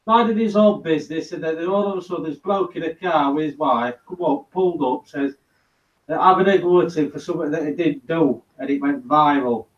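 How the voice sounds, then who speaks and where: A man's voice: a little high, brisk. Distant, teasing man on a roomy, dull line. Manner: teasing, jokey asides. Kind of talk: casual chat.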